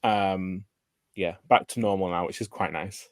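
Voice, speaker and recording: Low-voiced man chatting casually, hesitant and meandering. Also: steady tone, a little slow, no room sound, studio quality. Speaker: guest co-streamer. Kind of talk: casual livestream chat about books.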